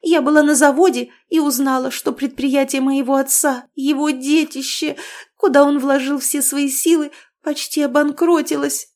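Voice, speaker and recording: A woman's voice: sits high, fairly even intonation, normal speed, darkish tone, voice pushed up. A woman voicing a young noblewoman who plays at naive, tearful outrage with a wailing, gushing manner. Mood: shrill complaint. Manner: wailing, gushing like a silly girl.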